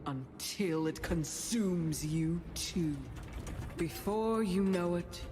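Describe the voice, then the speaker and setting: A woman playing a mysterious, wry storyteller in a video game, narrating cautionary fables in a measured, theatrical way. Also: low voice. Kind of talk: dramatic scripted game narration.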